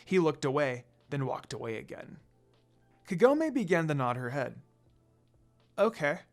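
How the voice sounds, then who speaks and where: Someone narrating a fanfic, medium pitch, really up n down delivery, scripted read. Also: big pitch swings, normal speed, gravelly, a little mellow, faint music behind. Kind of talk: scripted fan fiction narration.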